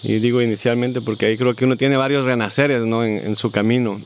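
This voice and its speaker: A man, a guest in a casual interview, telling stories in a reflective, unhurried way. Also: medium pitch, steady tone, a little hiss or hum. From Costa Rica. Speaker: guest, family farmer.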